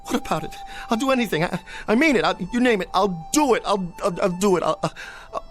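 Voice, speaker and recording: A vain TV cowboy star, as an actor plays him, babbling and flustered, his slightly high voice leaping up and down. Music plays under him in this radio drama. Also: expressive, fast, fairly bright tone. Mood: pleading.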